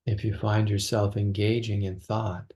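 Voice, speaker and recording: A man leading a guided meditation as a teacher, speaking gently and slowly with long pauses between phrases. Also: lowish voice, little pitch movement.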